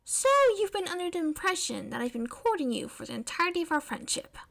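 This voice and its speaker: A woman's voice: high-pitched, expressive, fast, vocal fry, pitched above the performer's own voice. A woman voicing a blunt, eager, earnest teenage girl in audiobook dialogue, with a direct, questioning manner. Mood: surprised, incredulous.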